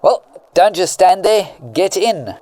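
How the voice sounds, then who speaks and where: A man's voice: high-pitched, expressive, takes it slowly, mellow. A man playing a serious, no-nonsense police partner who talks curtly in a noisy amateur radio drama. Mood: serious, brisk.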